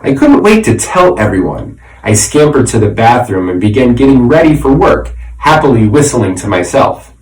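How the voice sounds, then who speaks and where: A man narrating a children's storybook in an expressive, dramatic way, like a teacher reading aloud. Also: medium pitch, normal speed. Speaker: reader, teacher. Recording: roomy echo, slightly distant mic.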